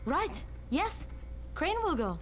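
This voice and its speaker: A woman's voice: sits high, sing-song, slow, noisy background, full character voice. An actress playing a tough, urgent young woman survivor in a video game. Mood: insistent.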